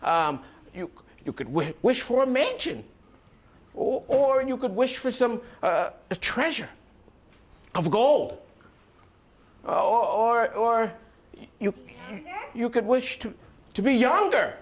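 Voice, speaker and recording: A man voicing an exasperated wife, speaking high, shrill and incredulous as she scolds her husband in a spoken story. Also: animated, takes it slowly, long pauses, heavily acted. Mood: eager. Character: exasperated scolding wife, middle-aged woman.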